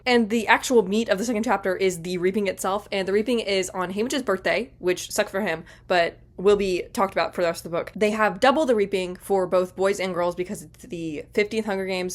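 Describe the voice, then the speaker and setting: A young woman with a congested voice from a cold, chatting casually and rambling as she recaps a book at home. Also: mid-range voice. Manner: fast, bubbly and rambling. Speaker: book vlogger. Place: at home in front of a bookshelf.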